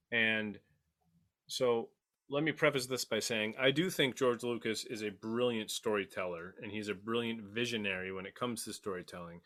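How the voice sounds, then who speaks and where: A man's voice: fairly low pitch, pushed and bright. A man talking emphatically and with strong opinions in a group discussion about a series, repeating phrases for emphasis. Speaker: podcast panelist.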